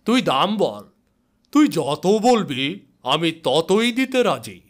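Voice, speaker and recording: A man voicing a domineering, menacing village landlord in a story, with imperious, drawn-out delivery. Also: fairly high pitch, very animated, voice pushed up. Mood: greedy, coaxing.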